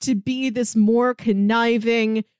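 A woman's voice: on the high side, steady tone, slow, darkish tone, clean tone, no room sound, clean studio sound. A woman co-hosting a podcast, chatting casually and with strong opinions about a TV series, leaning on fillers like "like". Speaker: podcast co-host. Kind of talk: casual TV recap chat.

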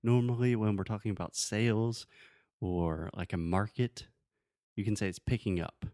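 A man's voice: fairly low pitch, steady tone, normal speed, warm tone, dry recording. Casual male English teacher, dry close sound. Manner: explains with everyday examples, checking understanding. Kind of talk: casual conversational language lesson.

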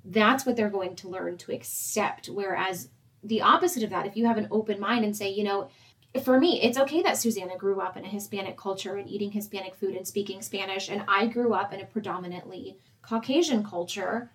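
A young woman co-presenting, talking parents through advice in a steady, explanatory way and addressing them directly. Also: mid-range voice, fairly quick. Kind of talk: semi-scripted advice talk.

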